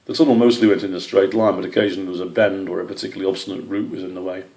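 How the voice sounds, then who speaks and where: Male story reader: low-pitched, creaky voice, scripted storybook narration. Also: steady tone, very fast, a little mellow.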